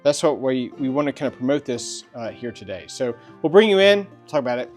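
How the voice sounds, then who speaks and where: A man's voice: mid-range voice, very animated, fairly quick, faint music behind. Casual man hosting a review video. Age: middle-aged. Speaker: video host. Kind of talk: casual product review chat.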